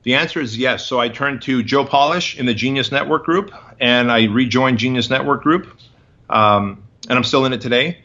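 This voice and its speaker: A man's voice: medium pitch, moderate pace, bright, forceful tone, muffled recording. A man in his forties, a guest in an interview, telling his story in emphatic, punchy short phrases. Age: 40s.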